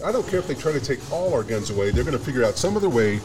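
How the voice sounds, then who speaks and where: A man's voice: medium pitch, big pitch swings, rapid-fire, over loud music. A man hosting a casual radio talk show, blunt and emphatic, often repeating himself.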